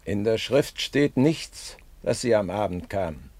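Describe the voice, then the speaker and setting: A gentle comforter speaks in a deep voice with lively melodic movement. He is consoling and kind, in a dryly recorded poetic radio drama. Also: unhurried, no room sound. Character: gentle, consoling man comforting an old mother.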